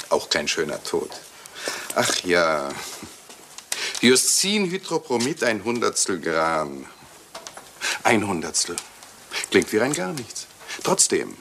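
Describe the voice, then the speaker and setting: An actor playing a suave Italian doctor who talks of poisons with polite, sardonic relish in a radio play. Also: male voice, mid-range voice, fairly bright tone. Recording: hissy old radio play recording.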